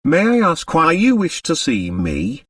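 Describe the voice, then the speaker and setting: Guy doing a snooty french count for an audiobook, formal, swingy pitch, sounds a bit off the mic. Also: huge pitch swings, measured pace, fairly bright tone, husky, no room sound, studio quality, distant mic, exaggerated voice. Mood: haughty. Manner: haughty, indignant, formal. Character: haughty, suave aristocratic French count. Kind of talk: audiobook character dialogue.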